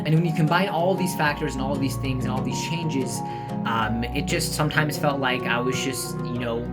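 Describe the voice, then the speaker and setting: A young man narrating a personal documentary voice-over in an earnest, reflective way, with many "you know" fillers. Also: mid-range voice. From United States. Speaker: vlogger. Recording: voice-over under loud guitar music.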